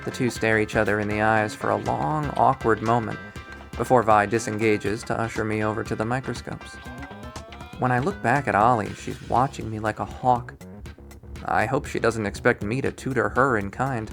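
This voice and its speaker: Expressive male narrator over music. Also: on the low side, normal speed, vocal fry. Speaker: visual novel readthrough player and narrator. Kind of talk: expressive scripted narration, reading aloud. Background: background music.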